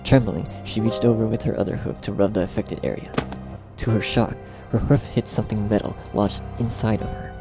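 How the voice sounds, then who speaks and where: A man narrating a dark fan fiction story as a scripted reading. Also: fairly low pitch, steady tone, brisk, music in the background.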